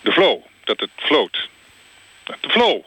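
A man's voice: medium pitch, slow, husky, exaggerated voice, rough recording. A man playing an earnest director of a quality label, matter-of-fact and formal in a mock radio phone interview. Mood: earnest, deadpan. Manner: formal, matter-of-fact, deadpan about slang.

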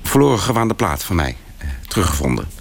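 An actor playing an earnest, self-important artist in a radio comedy, speaking in halting fragments with a deadpan delivery. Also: male voice, sits low, gravelly, a little hiss or hum, exaggerated voice. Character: earnest, self-important male artist recounting his oeuvre. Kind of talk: deadpan mock-documentary monologue.

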